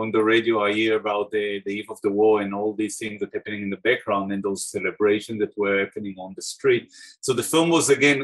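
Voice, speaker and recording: A middle-aged man from Israel with an Israeli accent, an artist talking over a video call about his films, thoughtful, pausing with fillers. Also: on the low side, fairly bright tone, slightly rough, poor audio. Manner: thoughtful, many restarts and fillers. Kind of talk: talk about his own work. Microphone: video call webcam.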